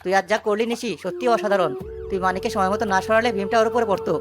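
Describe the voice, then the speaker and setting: A woman's voice: medium pitch, fairly even intonation, rapid-fire, music in the background, close to an everyday voice. A woman playing an earnest young village rescuer in a cartoon story, speaking in a dramatic, urgent manner. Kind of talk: animated cartoon story acting.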